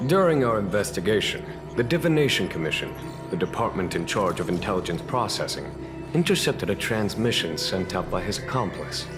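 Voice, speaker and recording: A man voicing a calm, shrewd general in a game, speaking with unhurried, diplomatic politeness. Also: low voice, over music. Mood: genial, measured. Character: calm, courteous, shrewd male general of knights. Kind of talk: scripted video game cutscene acting.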